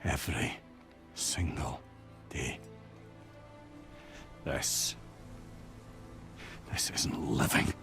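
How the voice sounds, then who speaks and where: An actor playing a talkative, witty, cocky captive sage in a fantasy game, speaking like a theatrical storyteller. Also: male voice, rumbling low, fairly even intonation, very slow, gravelly, pauses often, music in the background, heavily acted. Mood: bitter, weary.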